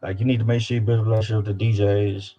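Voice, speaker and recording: A man's voice: fairly low pitch, level tone, racing, warm tone, muffled recording, soft background music. A man from Louisiana with a Southern US accent, talking casually and slangily as an interview guest, often repeating his phrases. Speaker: independent rapper guest.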